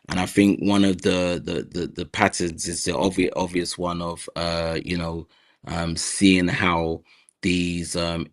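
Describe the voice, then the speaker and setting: A man with a London accent, a guest on an interview podcast, talking in a hesitant way with many fillers and restarts. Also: low voice. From South London, Nigerian heritage. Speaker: guest, mental health advocate.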